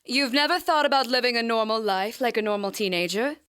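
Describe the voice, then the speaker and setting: A woman playing a gentle, concerned grandmother, polite and reassuring, in an audio drama. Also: fairly high pitch, fairly quick, clear, resonant tone, dry recording, studio quality, close to an everyday voice.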